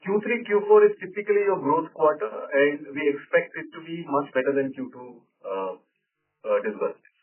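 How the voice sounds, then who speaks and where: A man's voice: a little high, moderate pace. Over a narrow, distant phone connection, a man speaks as a company executive. He answers analysts off the cuff in an explanatory way, often tagging his points with a checking "right?". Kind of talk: unscripted earnings call answers. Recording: narrow-band phone line, distant from mic.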